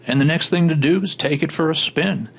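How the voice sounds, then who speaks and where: A man giving informal, step-by-step instructional narration as a software tutorial instructor, with a gravelly voice. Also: a little high, brisk. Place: indoor voice-over for a screen recording. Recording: faint background noise.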